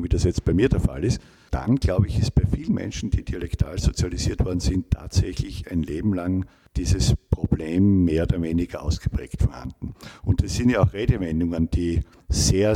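A middle-aged man, a linguistics professor giving measured, academic interview answers and often rephrasing himself. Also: low-pitched, warm tone, slight background noise.

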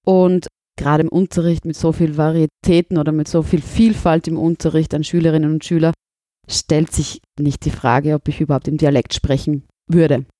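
Austrian woman in her thirties, teacher, measured and candid, dry close mic. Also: low voice, fairly even intonation, warm tone, no room sound. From Burgenland, Austria. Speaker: teacher, interviewee. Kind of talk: interview answers.

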